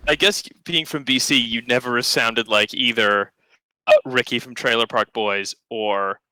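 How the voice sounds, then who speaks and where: A man's voice: mid-range voice, measured pace, sharp tone, clear, resonant tone, no echo, poor audio. A man from Canada with a general North American accent, a podcast guest in casual chat, rambling and hesitant with lots of fillers.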